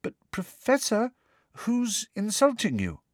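Man playing schoolgirl, high-pitched, hushed, timid, anxious to help, audiobook character. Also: big pitch swings, moderate pace, no echo, studio quality, heavily acted. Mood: timid concern. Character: timid, concerned schoolgirl admiring her teacher.

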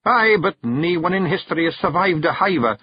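A male narrator voicing a small, fierce, excitable fairy clan chief with a Scottish accent, high, loud and flustered. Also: fairly quick, slightly rough, dry recording, dull audio.

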